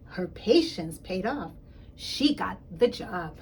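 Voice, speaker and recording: A woman reading a children's story aloud in an expressive, emphatic way, speaking directly to young listeners as a school principal would. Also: fairly low pitch, slow, creaky, a little hiss or hum.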